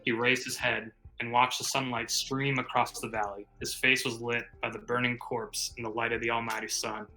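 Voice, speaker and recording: A man's voice: mid-range voice, flat delivery, moderate pace, hardly any pauses, sharp tone, rough recording. A man reading a story aloud on a stream in a theatrical, dramatic manner.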